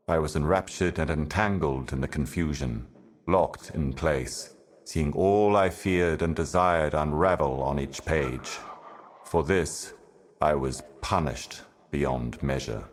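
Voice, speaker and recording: A super deep ancient video game herald, grave and weary, slow cryptic doom monologue. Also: male voice. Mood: ominous, grave. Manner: grave, deliberate, ominous, poetic.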